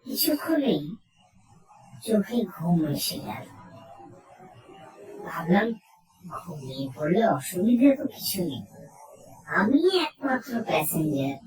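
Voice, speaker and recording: Female horror storyteller, highly expressive and suspenseful, unhurried, roomy sound. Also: crowd noise. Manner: suspenseful, theatrical, with dramatic pauses. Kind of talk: dramatic first-person horror narration. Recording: roomy echo, distant from mic.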